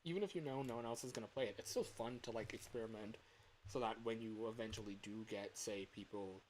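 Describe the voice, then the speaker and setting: A young man livestreaming in a casual chat, rambling and halting as he corrects himself mid-sentence. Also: on the low side, darkish tone, slight background noise, low-quality recording. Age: young adult. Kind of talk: casual chat with stream viewers.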